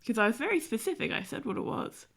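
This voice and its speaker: A woman's voice: a little high, big pitch swings, fast, gravelly, a bit bright. A young woman streamer, nasal and stuffy from a cold, chatting casually and rambling with many fillers as she draws. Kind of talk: casual chat while drawing.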